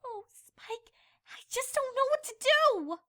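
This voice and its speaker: Young female unicorn princess, acted by a woman, high-pitched, very animated, bookish, fretful, cartoon audio drama. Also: takes it slowly, full character voice. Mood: despairing. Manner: expressive, fretful, articulate. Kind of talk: dramatized fan fiction reading. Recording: music behind the voice.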